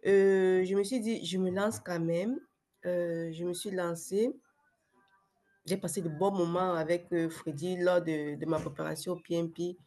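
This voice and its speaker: A woman's voice: on the low side, steady tone, unhurried, dark tone, soft background music. Woman with a cold, hesitant speech. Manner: hesitant, many fillers and restarts. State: sick with a cold, voice affected. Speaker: webinar guest, former client. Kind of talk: casual testimonial on a video call.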